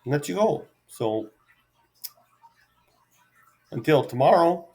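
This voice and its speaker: A middle-aged man rambling unscripted to his viewers as a solo vlogger, halting and easygoing, with self-deprecating asides. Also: on the high side, animated, dark tone.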